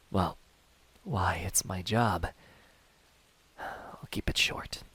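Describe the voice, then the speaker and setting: A man voicing a wounded, cocky, world-weary demon hunter in a hushed, breathy, sardonic ASMR roleplay. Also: lowish voice, heavily acted. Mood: grave warning. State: in pain from a wound.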